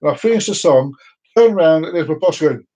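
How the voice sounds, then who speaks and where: A man's voice: a little high, lively intonation, brisk, background noise. A man hosting a casual solo live stream, rambling and self-mocking, breaking into off-key singing now and then. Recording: dull lo-fi sound.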